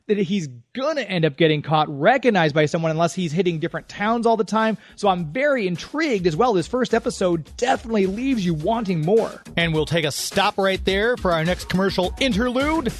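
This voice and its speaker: High-voiced male radio host. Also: expressive, brisk, hardly any pauses, dry recording, faint music behind. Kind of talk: conversational radio interview hosting.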